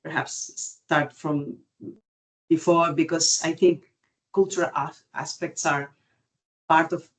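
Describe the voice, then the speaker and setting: A woman from Cuba with a Cuban Spanish accent, speaking as an expert panellist in an unscripted webinar, searching for words in halting non-native phrasing. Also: deep voice, fairly even intonation, poor audio. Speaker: genetic counselling expert and panellist. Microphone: video call.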